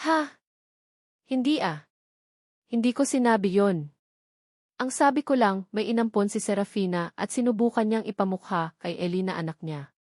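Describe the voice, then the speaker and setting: A woman's voice: medium pitch, moderate pace, long pauses, darkish tone, dry recording, studio quality, lightly acted. Woman reading as an evasive, caring-seeming male friend. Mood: defensive, evasive. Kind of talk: dramatic storybook dialogue.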